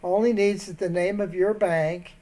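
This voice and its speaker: A rambling man with a notably high voice keeps a phone scammer busy. He wanders off mid-sentence and grows indignant at instructions he finds senseless. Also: fairly even intonation, moderate pace, warm tone. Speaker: scambaiter posing as an elderly bank customer. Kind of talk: prank phone call.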